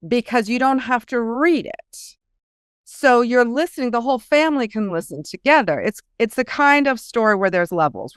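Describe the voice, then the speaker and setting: Clear-voiced woman, informal author interview. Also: medium pitch, dry recording, clean studio sound. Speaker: guest author. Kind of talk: conversational interview answers.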